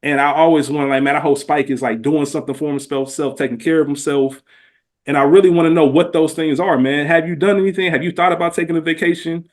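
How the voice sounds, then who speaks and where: A man's voice: fairly high pitch, steady tone, fast. A man co-hosting a podcast in casual, heartfelt conversation, speaking earnestly in informal, slangy language and asking probing questions. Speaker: podcast co-host.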